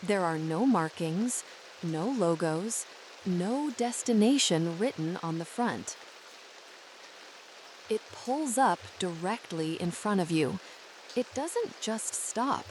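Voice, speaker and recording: A woman narrating a guided sleep meditation in a soothing, measured and deliberate way. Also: lowish voice, lively intonation, slight background noise, poor audio.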